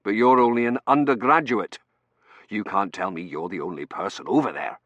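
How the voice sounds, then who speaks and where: A man voicing a brusque, commanding male academic in an audiobook, brisk, authoritative and easily exasperated. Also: on the low side, a bit bright, no echo, highs cut off, voice pushed up.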